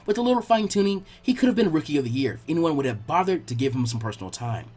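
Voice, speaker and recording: A man's voice: fairly high pitch, very animated, rapid-fire, darkish tone, husky, soft background music. A man voicing an earnest, bookish young girl tutor who explains things kindly and teasingly in an audiobook-style story. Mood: teasing, sympathetic.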